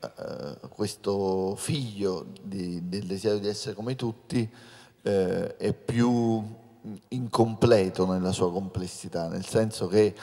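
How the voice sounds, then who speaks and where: Middle aged writer, lowish voice, lots of ehm, searching for words, echoey hall. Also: male voice, fairly even intonation, takes it slowly, dark tone. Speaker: author. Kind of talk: casual panel talk. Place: stage in a large hall. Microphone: handheld mic. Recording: long hall reverb.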